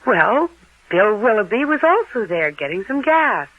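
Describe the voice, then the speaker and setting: Flustered, evasive wife, tinny radio sound. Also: female voice, mid-range voice, very animated, measured pace, no room sound. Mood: reluctant, flustered. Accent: American. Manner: hesitant, repeating and stammering. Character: evasive, flustered young married American woman. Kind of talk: old-time radio drama acting. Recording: narrow, telephone-like old radio recording.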